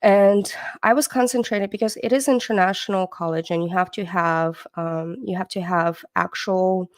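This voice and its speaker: A woman with a low, gravelly voice, Russian her first language, talks to her stream viewers in a rambling, halting way. She restarts her sentences often and fills the gaps with hesitations. The sound is dry and close, with no room around it. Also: steady tone, no room sound. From born in the USSR, Ukrainian background. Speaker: livestream host. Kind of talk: casual livestream commentary.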